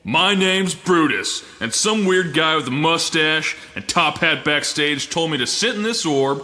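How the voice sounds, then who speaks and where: Comic radio-play lumberjack, male actor, boisterous and rambling, forceful bright voice, hall reverb. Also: on the high side, animated, unhurried. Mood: cheerful, boisterous. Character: goofy cheerful big lumberjack man aged thirty, easily scared. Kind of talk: comic audio drama acting.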